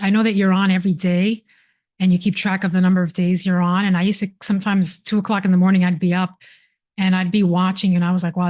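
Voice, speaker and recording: Effusive woman hosting a live panel. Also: fairly low pitch. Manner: warm, effusive praise. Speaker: host.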